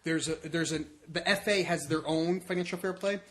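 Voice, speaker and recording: A man's voice: fairly high pitch, fairly even intonation, moderate pace. A man co-hosting a sports podcast, talking soccer casually and often repeating and rephrasing himself as he explains.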